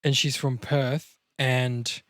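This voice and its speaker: A man's voice: medium pitch, little pitch movement, takes it slowly, fairly bright tone, no room sound. An Australian man hosting a podcast in casual banter, with a rambling, sweary way of telling stories. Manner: rambling, sweary, digressive storytelling. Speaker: podcast host.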